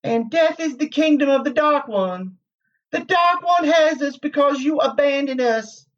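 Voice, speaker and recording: A man's voice: very high voice, measured pace, distant mic, highs cut off, pitched above the performer's own voice. A man voicing a mournful, accusing young woman ghost in a pained, pleading storybook reading. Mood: sad.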